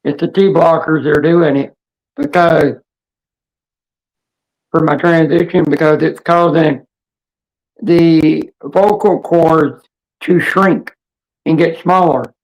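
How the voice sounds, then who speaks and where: A woman with a hoarse, raspy voice hosting a casual livestream chat in a rambling, halting, blunt way. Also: low voice, fairly even intonation, darkish tone, poor audio. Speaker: livestream host.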